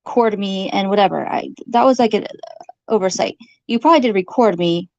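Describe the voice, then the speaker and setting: A woman arguing informally in an accusatory, halting way, repeating her phrases, on a slightly dull recording. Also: medium pitch, no echo. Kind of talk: informal argumentative monologue to camera.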